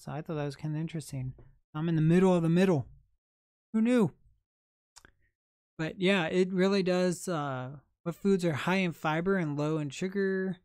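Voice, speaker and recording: A young man hosting a late-night livestream, chatting in a soft, calm, unhurried way. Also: fairly high pitch, smooth, no room sound, clean studio sound. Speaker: livestream host.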